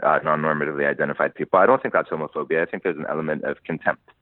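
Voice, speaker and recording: A man's voice: a bass voice, steady tone, racing, dry recording. A man from Lebanon, a musician being interviewed, talking thoughtfully and laughing as he tells stories. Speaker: musician guest. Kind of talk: conversational interview. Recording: dry tinny phone-like line.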